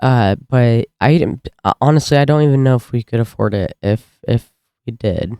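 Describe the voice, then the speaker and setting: A woman's voice: a bass voice, normal speed, clear, resonant tone, no echo, clean studio sound. An American woman from the United States chatting casually as she reacts to a video. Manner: relaxed, chatty asides. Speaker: reaction channel host.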